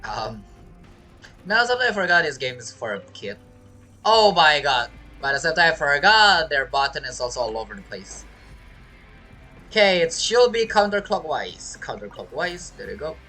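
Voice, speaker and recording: A gaming streamer giving casual, halting gameplay commentary, often muttering to themselves. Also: mid-range voice, sing-song, sharp tone, soft background music.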